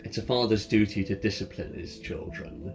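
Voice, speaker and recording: A stern, accusing young dragon, acted out by a male game master speaks low and solemnly. The words are formal and measured, set over loud ambient music in a tabletop game. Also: natural voice.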